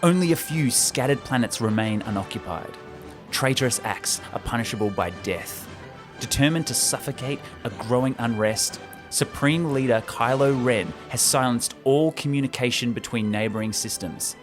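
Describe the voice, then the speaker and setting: A man's voice: mid-range voice, moderate pace. A man hosting a show, retelling a film script with enthusiastic, chatty asides. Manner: enthusiastic, banters with co-host. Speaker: podcast host. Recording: loud music behind the voice.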